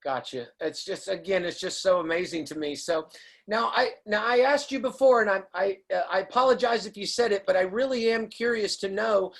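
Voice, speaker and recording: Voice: medium pitch, fairly quick. Hesitant interviewer asking questions. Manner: hesitant, searching for words.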